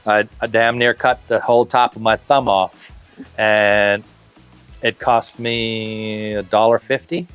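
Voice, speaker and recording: A man in his fifties talks in a low, relaxed voice, rambling a little with fillers and restarts. He sits outdoors on a patio wearing a lapel mic. Soft music plays faintly under the talk. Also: slow pace, smooth. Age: 50s. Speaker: interviewee. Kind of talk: casual interview. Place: outdoor covered patio, tropical garden.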